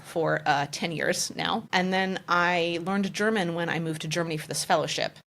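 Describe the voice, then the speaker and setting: Low-voiced American woman, chatty and eager. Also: steady tone, moderate pace, creaky, fairly bright tone. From United States. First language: French. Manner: enthusiastic, chatty storytelling. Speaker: guest, general counsel. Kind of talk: podcast interview.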